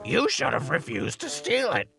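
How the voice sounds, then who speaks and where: A woman voicing a grumbling, hungry male pig-demon pilgrim in a deep, gravelly growl, whiny and quick to blame. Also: huge pitch swings, heavily acted. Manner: whiny and blaming. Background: soft music.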